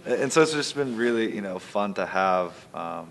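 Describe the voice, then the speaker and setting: Deep-voiced man, arts organizer in interview, loose rambling delivery, faint background hiss. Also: lively intonation, normal speed, gravelly, a bit bright. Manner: rambling, heavy use of fillers like you know and um. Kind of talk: interview answer.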